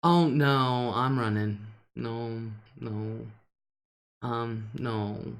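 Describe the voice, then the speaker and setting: An older woman hosting her own live stream, talking in an unhurried, drawn-out way. Her voice is a deep alto, and she chats loosely with her viewers, answers their comments and laughs between thoughts. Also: steady tone. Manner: chatty, laughs often between remarks.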